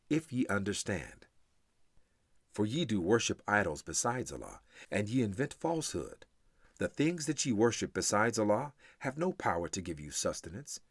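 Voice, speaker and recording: Deep-voiced man reciting scripture with dramatic swings. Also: breathy edge, no room sound, studio quality. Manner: solemn, declamatory, measured phrasing with deliberate pauses. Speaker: reader of scripture.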